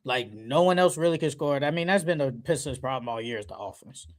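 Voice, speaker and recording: A sports podcast co-host talks basketball at a medium pitch. The delivery is casual and slangy, with firm, emphatic opinions. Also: animated, fairly quick.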